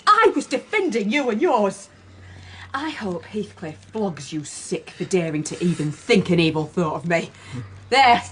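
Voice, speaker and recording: An actress playing a proud, volatile young wife in a gothic period radio drama, imperious, taunting and impassioned. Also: female voice, medium pitch, very animated, measured pace, fairly bright tone, slight background noise. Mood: defiant, commanding.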